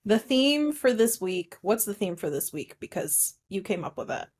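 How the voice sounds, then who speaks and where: A woman's voice: medium pitch, very animated, moderate pace, a little mellow, husky. A woman co-hosting a casual film discussion, explaining things with playful banter. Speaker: podcast co-host.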